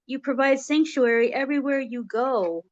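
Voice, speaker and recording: A woman's voice: sits high, normal speed, no room sound, lo-fi sound. A woman hosting an interview show, asking her guest questions in a friendly, upbeat way. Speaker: show host.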